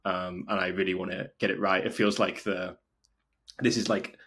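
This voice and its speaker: A man's voice: low-pitched, little pitch movement, normal speed, fairly bright tone, slightly rough. A man from North Wales chatting informally as an interview guest, often dropping "like" into his sentences. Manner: informal, frequent "like" as filler. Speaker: podcast guest, video maker and documentary filmmaker. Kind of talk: casual interview chat.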